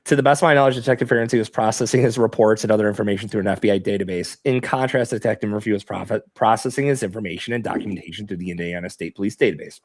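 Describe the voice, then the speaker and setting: Casting: low male co-host, deadpan jokes, close dry mic. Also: dry recording. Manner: dry jokey asides, reads quickly. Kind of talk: casual true-crime commentary.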